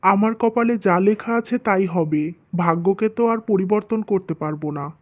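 A woman's voice: mid-range voice, moderate pace, close to an everyday voice. A woman voicing an earnest, worried young husband and dutiful son in a scripted cartoon, speaking plainly. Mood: resolved.

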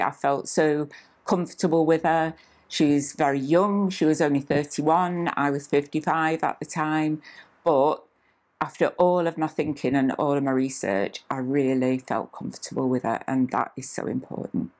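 A woman in her sixties with a strikingly deep voice speaks as a clinic manager. She talks in a calm, measured way, often pausing on "uh." She is seated in a small indoor clinic room. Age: 60s. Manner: calm, measured, with frequent "uh" fillers. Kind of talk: scripted-feel promotional interview talk.

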